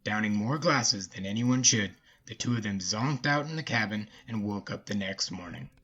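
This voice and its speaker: A man's voice: fairly low pitch, moderate pace, fairly bright tone, dull audio. A man narrating a scripted folksy story as the narrator of an audio drama.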